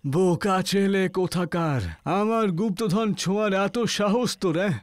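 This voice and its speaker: A man's voice: high voice, unhurried, dry recording. A wrathful male guardian spirit from a ghost story, voiced by a man. It scolds and commands with menace, recorded close and dry. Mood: angry challenge. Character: wrathful male yaksha spirit guarding treasure, posing deadly riddles. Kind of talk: audio horror story acting.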